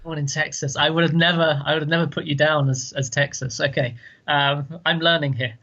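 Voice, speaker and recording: A man's voice: fairly high pitch, steady tone, brisk, pushed and bright. A man from London co-hosting a podcast, chatting casually and repeating his words. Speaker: podcast co-host. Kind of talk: casual chat. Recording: dull lo-fi sound.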